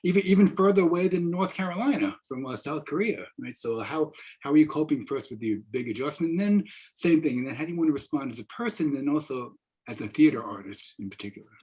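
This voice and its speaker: A man's voice: fairly high pitch, expressive, fast, a little mellow, slightly rough. An older man moderating an online panel discussion from home, feeling his way through his questions with restarts. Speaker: moderator, professor. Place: wood-panelled room at home. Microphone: video call, laptop. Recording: dull video-call audio.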